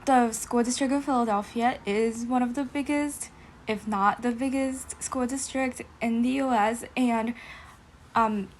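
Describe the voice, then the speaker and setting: A teenage young woman with an American accent, speaking as a student guest in a casual panel discussion, with measured, hesitant phrasing. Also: fairly high pitch, steady tone, a little hiss or hum.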